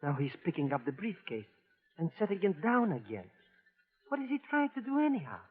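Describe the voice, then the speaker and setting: A man's voice: high-pitched, big pitch swings, brisk. A man playing a nervy, money-grubbing comic sidekick in a vintage radio drama, fussy and wheedling. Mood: fretful. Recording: muffled, band-limited old radio recording.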